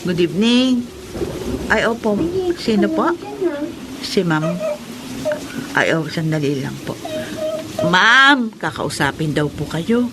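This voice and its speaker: A woman's voice: fairly low pitch, animated, slow pace, long pauses, noisy background. A woman playing a deferential household maid in a Filipino radio drama, speaking politely and dutifully.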